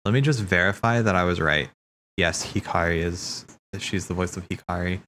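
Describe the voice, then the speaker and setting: A man's voice: deep voice, moderate pace, fairly bright tone, slight background noise. A man hosting a livestream, talking in a casual, slangy, rambling way as he thinks aloud. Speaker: livestream host. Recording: background music.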